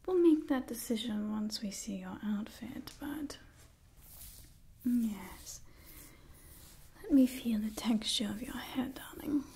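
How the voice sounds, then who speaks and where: Woman playing a slow, whispering, refined vampire lady. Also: on the high side, lively intonation, gravelly, exaggerated voice. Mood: doting, admiring. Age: young adult. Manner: slow, genteel, gently imperious, whispering. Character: refined, doting female vampire clan leader. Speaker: ASMR roleplay performer. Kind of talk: whispered ASMR roleplay. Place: small indoor room with wooden backdrop.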